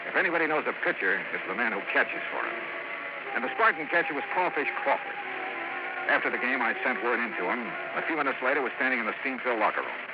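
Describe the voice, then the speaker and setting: Cool american detective type, quick n lively, dry probing, old 50s radio, super noisy. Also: male voice, medium pitch. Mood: brisk narrating. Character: cool, skeptical male insurance investigator narrating his case. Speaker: lead investigator and narrator. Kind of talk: 1950s hard-boiled radio acting. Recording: noisy, muffled old radio broadcast recording.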